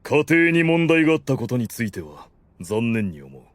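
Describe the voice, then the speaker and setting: A man's voice: mid-range voice, big pitch swings, moderate pace, no room sound, lightly acted. A man playing a dignified, magnanimous undead overlord in an anime audio drama, solemn and commanding. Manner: regal, solemn.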